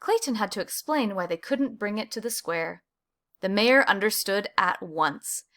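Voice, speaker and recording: A woman reading a children's picture book aloud, with animated emphasis on key words. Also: medium pitch, unhurried. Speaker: storybook reader.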